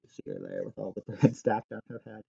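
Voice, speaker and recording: A man's voice: fairly low pitch, huge pitch swings, a little fast, vocal fry, warm tone, breathy edge. A man reading a picture book aloud to children like a teacher, expressively and with chatty asides. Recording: dull, echoey room recording.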